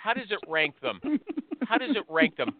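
A man with a notably high voice hosts a casual interview, pushing and teasing his guest and often cutting in. His voice comes through thin and tinny, like a phone line. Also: expressive, normal speed, a little hiss or hum. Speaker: show host.

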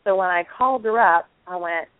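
Enthusiastic woman co-host, lowish voice, narrow phone-line sound. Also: a little fast, no room sound. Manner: effusive, enthusiastic. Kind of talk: casual online talk show chat.

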